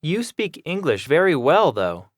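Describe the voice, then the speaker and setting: A man's voice: a little high, big pitch swings, a little slow, dry recording. Man as an eager polite student, dry sound, plain questioning delivery. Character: young adult male student, eager, polite. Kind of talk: scripted classroom dialogue.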